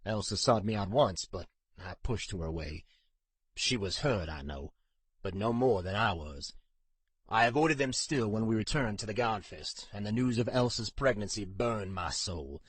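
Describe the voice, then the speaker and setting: Male narrator as brooding older man, somber, measured confession, fantasy audiobook, dry sound. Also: fairly low pitch, lively intonation, breathy edge, dry recording. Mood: somber, regretful. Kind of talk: audiobook character acting.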